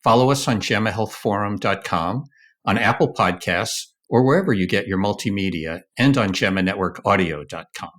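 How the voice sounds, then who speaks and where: A man's voice: lowish voice, normal speed, fairly bright tone. A man hosting a podcast, introducing it and putting questions as a journal editor.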